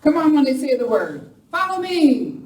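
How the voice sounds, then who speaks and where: A fairly high-voiced, bloodthirsty female gang boss rallies her outlaws as a woman acts her out on stage. It is an amateur western radio play, picked up at a distance in an echoey room with clear noise. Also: big pitch swings, normal speed. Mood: determined. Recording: echoey hall, distant mic, noisy.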